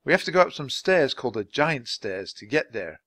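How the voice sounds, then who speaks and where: A man's voice: medium pitch, sing-song, fairly quick, fairly bright tone, no room sound, clean studio sound. A man voicing a cautious, studious and earnest young boy in a children's audiobook. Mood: worried, cautious.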